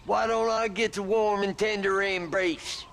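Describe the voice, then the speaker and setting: An actor playing an old, self-excusing frontier drunkard in a Western game, with a whiny, defensive, theatrical manner. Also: male voice, high-pitched, steady tone, measured pace, gravelly, a bit bright, slight background noise, full character voice.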